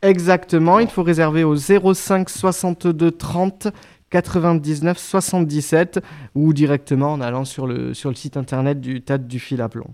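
A man's voice: on the high side, little pitch movement, a little mellow. A man, an actor, describing his stage show in a radio interview, often restarting his sentences. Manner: halting, many restarts.

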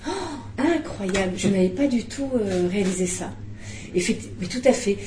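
A middle-aged woman, a film director, talking at a press conference, animated and restarting often. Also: mid-range voice, normal speed, reverberant, a crowd in the background. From Belgium.

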